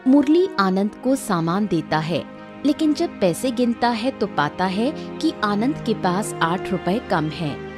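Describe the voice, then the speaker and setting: A woman's voice: mid-range voice, sing-song, takes it slowly. A woman narrating a scripted moral story as a storyteller. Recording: music behind the voice.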